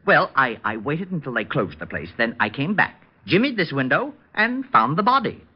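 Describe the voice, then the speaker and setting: A man's voice: medium pitch, sing-song, normal speed, slightly rough. An actor playing a flighty, cheeky eccentric man in old-time radio comedy, prissy and theatrical, prone to chatter and song. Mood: fussy, smug. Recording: muffled old radio drama recording.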